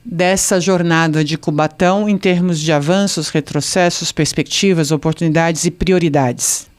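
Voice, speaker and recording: Smooth-voiced woman interviewer. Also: sits low, steady tone, normal speed. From Santos, Brazil. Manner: discursive, peppers speech with tag questions. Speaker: podcast host. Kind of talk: conversational interview questions.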